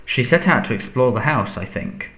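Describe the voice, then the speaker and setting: A man voicing a nervous, servile, cowardly henchman in a storybook, stammering and pleading in a squeaky voice. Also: expressive, normal speed, a bit bright, roomy sound, lightly acted. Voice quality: squeaky when agitated.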